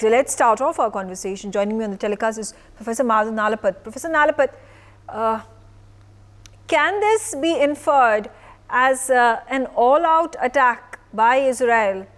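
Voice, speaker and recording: A woman with an Indian accent anchoring a live news broadcast, putting drawn-out, halting questions to guests. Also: a little high.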